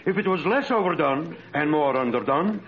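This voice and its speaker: Actor as a high-pitched, pompous waiter. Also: male voice, expressive, lightly acted. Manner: pompous, mangled word order, deliberate. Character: pompous, dim, high-class immigrant waiter, middle-aged or older man. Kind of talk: 1940s radio comedy acting. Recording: dull old radio recording, laughing studio audience.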